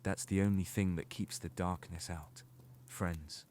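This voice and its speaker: A man's voice: low-pitched, steady tone, a little slow, a little mellow, slight background noise. A man playing a wry, world-weary middle-aged godfather in a fan audio drama, fatherly with youngsters. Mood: reassuring.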